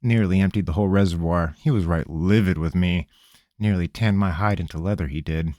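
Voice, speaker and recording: A man voicing a nervous, rambling young farm-boy mage with a high, twangy, folksy voice. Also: a little fast, mellow, dry recording, clean studio sound, close to an everyday voice. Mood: rambling excuses. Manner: jittery, wheedling, folksy.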